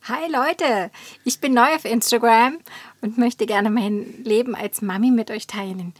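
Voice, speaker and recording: A woman's voice: fairly high pitch, measured pace, slightly rough, dry recording. A woman playing an eager, friendly Instagram user, reading posts and comments aloud. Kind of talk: online comments read aloud as collage.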